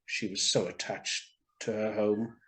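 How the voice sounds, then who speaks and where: A man reading a children's story aloud as an expressive storyteller. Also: lowish voice, unhurried, rough recording.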